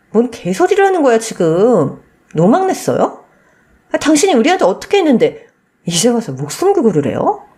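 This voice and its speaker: Woman playing furious young granddaughter, animated pitch. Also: close to an everyday voice. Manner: blunt, accusing. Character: furious, protective young adult granddaughter, a doctor.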